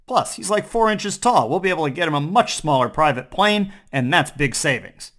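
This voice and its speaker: A man's voice: a little high, lively intonation, moderate pace, fairly bright tone. A man playing a brash, greedy financier. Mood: boastful. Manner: glib, boastful, blunt.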